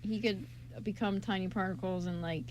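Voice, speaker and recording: A woman's voice: mid-range voice, fairly even intonation, moderate pace, darkish tone. Woman chatting casually, noisy amateur recording. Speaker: radio show co-host and writer. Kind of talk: casual chat. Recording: amateur recording with background noise.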